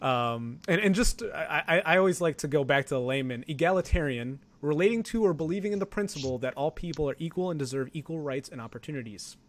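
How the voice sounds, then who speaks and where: American male, twenties, higher-pitched voice, rambling and animated casual talker with halting delivery. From Ohio, United States. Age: 20s. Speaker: talk show participant discussing politics. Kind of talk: casual political chat.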